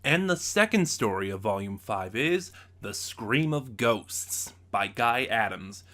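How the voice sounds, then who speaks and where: A man giving a casual, unscripted review as a reviewer, speaking haltingly and often losing his thread. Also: lowish voice, expressive, bright, forceful tone. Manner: halting, loses his train of thought.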